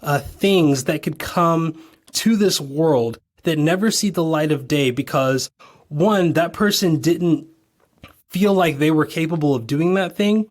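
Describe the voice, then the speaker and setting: A podcast host whose voice is a little raspy, tired from too little sleep. The host talks at a middling pitch and builds long, enthusiastic questions in a relaxed conversational way. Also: a little slow. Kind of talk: conversational interview.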